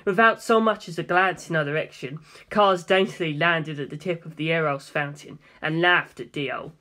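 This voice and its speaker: A man's voice: on the high side, normal speed, bright, forceful tone. A man reading a scripted first-person story as an audiobook narrator.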